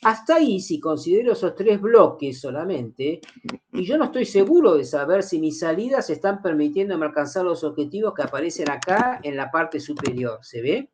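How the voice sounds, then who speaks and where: A middle-aged man lectures a class. His pitch rises and falls with plenty of movement, and he keeps repeating key ideas and asking the room rhetorical questions. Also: big pitch swings, normal speed, a little hiss or hum. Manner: explanatory, repeats key phrases, asks students rhetorical questions. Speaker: university lecturer. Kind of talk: university lecture.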